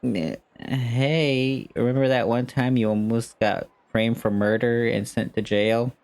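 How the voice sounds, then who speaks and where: A woman's voice: a bass voice, fairly even intonation, a little slow, darkish tone, a little hiss or hum. A woman giving casual gaming commentary with slangy, teasing asides. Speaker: game streamer reacting to a story quest.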